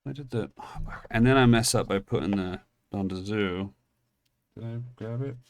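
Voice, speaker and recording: A deep-voiced man in his thirties with an English accent that has picked up American edges. He rambles through an anecdote, then opens card packs, cheerfully calling himself clumsy. His talk wanders, restarts and doubles back like easy chat with viewers. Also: moderate pace. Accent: English, partly Americanized. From England. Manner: rambling, self-mocking, restarts often. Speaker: pack-opening channel host. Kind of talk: casual storytelling and card unboxing.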